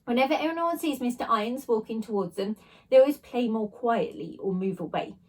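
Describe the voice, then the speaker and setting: A woman teacher reading a children's storybook aloud with expressive narration. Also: on the high side, a little fast, poor audio.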